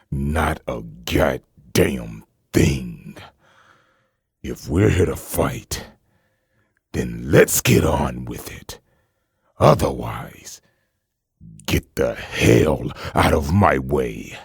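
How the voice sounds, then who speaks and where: Very deep, gruff, arrogant killer voiced by a man. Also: expressive, no echo, clean studio sound, full character voice. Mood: scornful, dismissive. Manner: slow, dismissive, threatening. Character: arrogant, ruthless male soldier-assassin, gruff and scornful. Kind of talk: fan comic dub acting.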